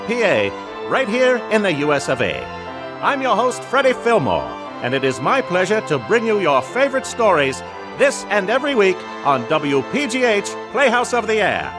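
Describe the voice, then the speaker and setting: High-pitched, swooping 1940s radio announcer. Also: male voice, very animated, normal speed. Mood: jovial, welcoming. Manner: theatrical, grand greeting. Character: jovial 1940s radio show announcer, a man. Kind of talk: 1940s radio acting, scripted welcome. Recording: music behind the voice.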